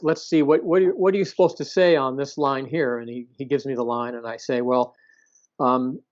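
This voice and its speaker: Male interview guest, mid-range voice, conversational storyteller with halting, repetitive phrasing; muffled lo-fi audio. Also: mid-range voice. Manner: halting, often repeating words and rephrasing. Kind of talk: conversational recounting of past experiences. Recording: dull, lo-fi sound.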